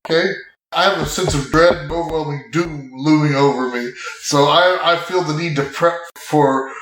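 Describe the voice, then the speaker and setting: A man with a somewhat high voice plays a tabletop roleplaying game. He narrates his character's actions casually and off the cuff, and the room echoes clearly around him. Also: moderate pace, a bit bright. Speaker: player in an actual-play podcast.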